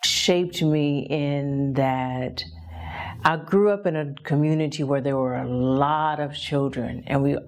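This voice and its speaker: A woman from Long Beach, California, a spoken word poet, speaking in a measured, deliberate way. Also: sits low, darkish tone. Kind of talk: documentary interview and poetry.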